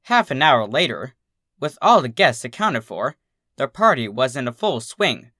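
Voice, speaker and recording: An expressive storyteller reads scripted fiction in a bright, forward voice. The pitch moves around in a lively way, and the sound is dry and close. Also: normal speed, dry recording. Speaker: fan fiction reader.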